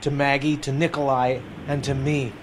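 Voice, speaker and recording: A man's voice: mid-range voice, flat delivery, normal speed, lightly acted. A man playing a weary homeless man who narrates his story in a reflective, earnest way. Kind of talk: first-person drama narration. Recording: noisy background hiss.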